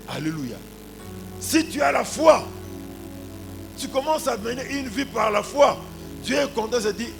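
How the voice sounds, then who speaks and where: Male preacher, forties, Congolese accent, fairly high voice, rhetorical sermon delivery, prominent music behind. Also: gravelly. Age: 40s. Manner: punctuates points with exclamations and rhetorical questions. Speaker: pastor. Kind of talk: animated sermon with anecdotes and congregational address.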